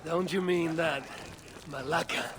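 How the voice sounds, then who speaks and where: Dry, higher-pitched Viking warrior man, acted. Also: steady tone, unhurried, a crowd in the background, natural voice. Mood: friendly, dry. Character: stoic, good-humoured male Norse Viking warrior. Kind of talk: video game cutscene acting.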